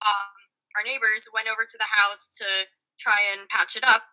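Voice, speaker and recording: A young adult woman telling a casual personal story in an informal, halting way. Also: mid-range voice, level tone, bright, forceful tone, low-quality recording. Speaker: podcast guest.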